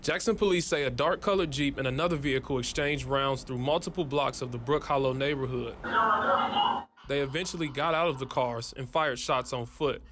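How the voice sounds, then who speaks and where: A man's voice: medium pitch, fairly quick, background noise. An American man delivering scripted narration as a television news reporter.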